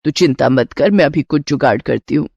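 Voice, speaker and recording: A woman voicing a resourceful older mother-in-law in a Hindi cartoon moral tale, calm, confident and affectionate. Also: sits low, brisk, vocal fry, slightly rough, no echo, dull audio, pitched below the performer's own voice, full character voice. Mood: reassuring, confident. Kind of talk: cartoon storybook character voice.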